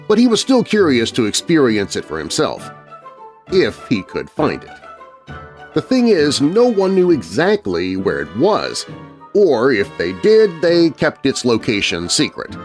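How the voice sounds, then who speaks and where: Male narrator, kids' horror podcast: dramatic scripted read, lively pitch movement, music bed underneath. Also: unhurried.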